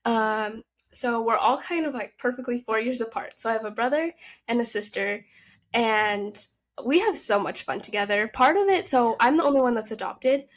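Chatty nineteen-year-old woman, a bit high. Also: moderate pace. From United States. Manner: upbeat, chatty storytelling. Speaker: podcast guest. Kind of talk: casual interview.

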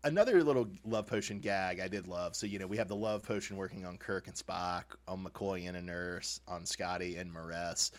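A man co-hosting a podcast, chatting casually and comically as a fan, with animated, informal, chuckling banter. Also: sits low, moderate pace, hardly any pauses. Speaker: podcast co-host. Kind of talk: casual comedic fan discussion.

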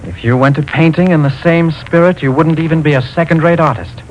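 An actor playing Goodwill, an earnest, stern and kindly spirit who lectures a couple, in a 1940s radio play. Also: male voice, on the high side, fairly quick, lo-fi sound, slight background noise, lightly acted. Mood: gentle. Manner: earnest, sermonizing, commanding. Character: Goodwill, a wise preachy man, stern and kindly.

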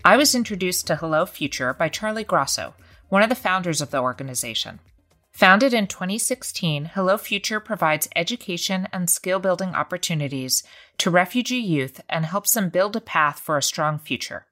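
Woman producer narrating, lowish voice, steady and measured. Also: faint music behind. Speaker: executive producer presenting a segment. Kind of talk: scripted narration.

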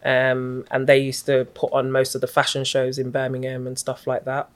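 A middle-aged woman, a guest in an interview, recounting her story in a reflective, even-toned, unhurried way. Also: rumbling low. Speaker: guest, community activist.

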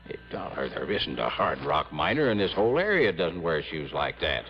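A hesitant small-town lawman, speaks low and with lively swings of pitch, tripping over and repeating words. He sounds like vintage radio drama, slightly dull and muffled. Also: faint music behind, full character voice. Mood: puzzled, investigating. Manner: halting, stammering, repeats words. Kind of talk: 1950s-60s radio drama acting.

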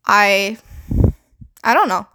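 Young woman from the philippines, canadian accent, rambling solo podcast chat, tons of likes and you knows, mid pitch. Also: expressive, slow pace, sharp tone, low-quality recording. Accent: Canadian English. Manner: chatty, many "like" and "you know" fillers, swears casually. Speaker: podcast host. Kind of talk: casual solo podcast monologue.

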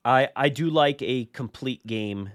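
A male podcast host chatting casually in a very dry, close recording. His pitch is middling, and he rambles with a self-deprecating streak as he talks things through. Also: a little slow, no echo. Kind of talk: casual conversational podcast chat.

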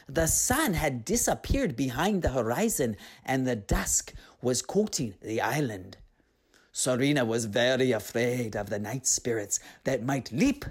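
A woman reading a story aloud as an expressive narrator. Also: extremely low pitch, breathy edge.

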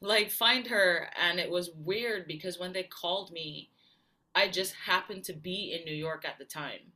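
A woman's voice: on the low side, unhurried, sharp tone. A woman from Barbados, telling her story as a guest in a casual interview. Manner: chatty storyteller, many fillers and laughs. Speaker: guest singer-songwriter.

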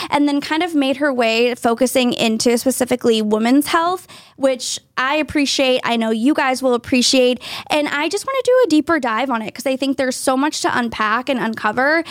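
A woman's voice: sits high, no room sound, studio quality. A woman hosting a podcast, chatting in a bubbly, enthusiastic way with her guest. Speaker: podcast host. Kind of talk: casual interview chat.

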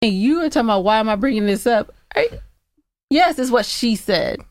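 A woman's voice: fairly high pitch, animated, brisk, dry recording, studio quality. A woman co-hosting a podcast, reading listener questions and chatting casually in a teasing, playful way. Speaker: podcast co-host.

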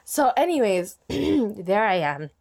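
A young woman on a podcast telling a funny story in casual chat, lively and self-mocking, often breaking into laughter. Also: mid-range voice, takes it slowly, vocal fry. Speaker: podcast guest.

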